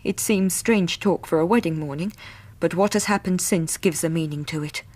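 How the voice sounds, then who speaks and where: An anxious, devoted young Victorian lady played by an actress in a period radio drama. She speaks with an English accent in a rather low voice, earnest, polite and full of feeling. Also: normal speed, a little mellow, close to an everyday voice. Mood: earnest recounting.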